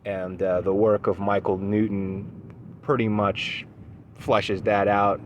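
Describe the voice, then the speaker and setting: A man giving an unscripted solo talk for his video channel, measured and thoughtful, with frequent pauses, ums and restarts. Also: on the low side, level tone, muffled recording, a little hiss or hum. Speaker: esoteric channel host.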